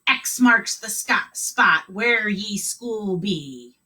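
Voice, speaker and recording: A woman voicing a boastful, gruff male pirate bus driver who talks in pirate slang and growls "arg". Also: medium pitch, lively intonation, drawn-out words, bright, forceful tone, distant mic, exaggerated voice.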